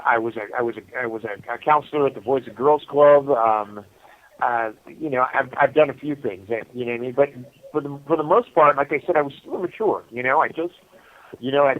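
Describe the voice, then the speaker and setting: Middle-aged American man, prison-interview guest, phone-line sound, halting casual storyteller, mid pitch. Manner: halting, conversational, repeats "you know". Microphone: telephone. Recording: muffled phone call from prison.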